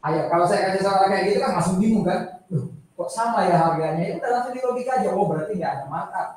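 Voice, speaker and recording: In a strongly reverberant room, picked up from a distance, an Indonesian man lectures in a high-pitched voice. He explains informally, circling back over points and putting questions to his listeners. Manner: conversational, repeats points and poses questions to listeners. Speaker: accounting lecturer. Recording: echoey room, distant microphone.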